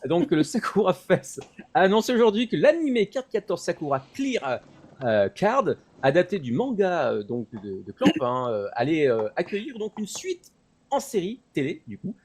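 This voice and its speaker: Animated man with a higher-pitched voice. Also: moderate pace, breathy edge. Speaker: commentator on a web talk show about anime. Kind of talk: casual news chat.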